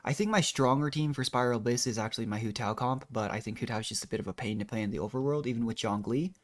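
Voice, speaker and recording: A man's voice: mid-range voice, fast. A man doing casual, rambling gameplay commentary as a streamer, thinking aloud in a modest, unsure way. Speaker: video game streamer.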